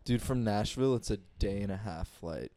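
A man's voice: lowish voice, moderate pace, warm tone. A young man co-hosting a podcast in easygoing, casual chat. He mumbles a little and swears casually. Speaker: podcast co-host.

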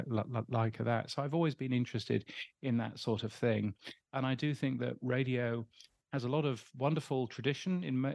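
A middle-aged man with an English accent, answering interview questions in a casual, halting way with many ums and restarts. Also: mid-range voice, gravelly, darkish tone, no room sound. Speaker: interview guest.

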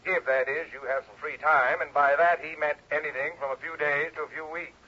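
A man's voice: fairly high pitch, steady tone, brisk, pushed and bright, husky. An actor playing a flustered, deferential male insurance agent, formal and hesitant, in old radio drama style. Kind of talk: 1950s-60s American radio drama acting. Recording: dull, telephone-like old radio recording.

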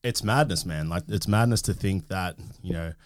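A man's voice: low voice, normal speed. A man hosting a podcast, chatting casually and swearing freely. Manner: loud and sing-song reading a sponsor ad, sweary and laid-back in chat. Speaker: podcast host. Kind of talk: casual chat. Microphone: podcast studio mic.

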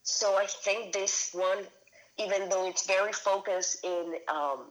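A woman's voice: mid-range voice, a little slow, poor audio. A woman in her thirties from Venezuela, a filmmaker being interviewed, talking casually with many restarts and fillers. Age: 30s. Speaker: guest filmmaker.

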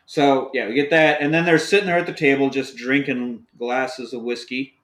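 A man's voice: mid-range voice, steady tone, moderate pace, a bit bright. A man hosting a podcast, recapping a movie in a casual, joking, profane way. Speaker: podcast host. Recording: roomy echoey room.

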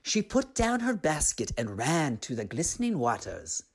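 A woman reading a story aloud as an expressive narrator. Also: low voice, normal speed, breathy edge.

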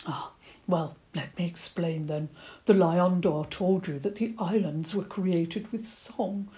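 A woman voicing a gentle, courteous old male badger who stammers a little. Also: lowish voice, slow pace. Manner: stammering, courteous, slightly eccentric.

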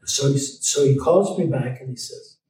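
Older man telling stories, halting. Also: mid-range voice, dark tone, very echoey, recorded from a distance, low-quality recording. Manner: halting, many restarts, slow and deliberate. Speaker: guest, Holocaust survivor. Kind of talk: recorded interview, storytelling.

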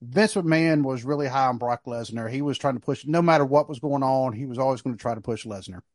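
A man's voice: mid-range voice, fast talker, a little mellow, dry recording, clean studio sound. A man hosting a sports podcast, chatting casually and giving his opinions in a conversational way. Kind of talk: casual wrestling discussion.

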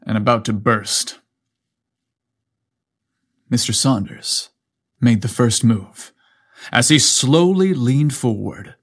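A man narrating a scripted story as a podcast host, with a playful, cheeky manner. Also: medium pitch.

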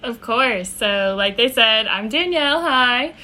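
Podcast guest: chatty young woman from New Hampshire, bright, slightly high voice. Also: lively intonation, a little slow. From New Hampshire, USA. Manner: chatty, laughs easily. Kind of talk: casual chat.

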